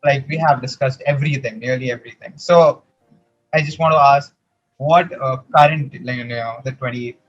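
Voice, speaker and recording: A man's voice: mid-range voice, fairly even intonation, normal speed, faint music behind. A man from India with an Indian English accent, hosting a casual interview, earnest and sometimes searching for words. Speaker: podcast host. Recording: dull lo-fi sound.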